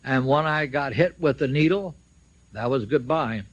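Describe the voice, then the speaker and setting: A man's voice: mid-range voice, moderate pace, no room sound. An older man giving a public speech, telling his own story in emphatic, short declarative statements. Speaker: speaker at a symposium, recounting his own legal case. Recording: dull lo-fi shortwave radio recording.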